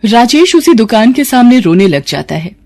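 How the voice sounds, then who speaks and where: A woman's voice: a little high, very animated, normal speed, a little mellow. A woman narrating a scripted moral story as its storyteller. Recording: clear background noise.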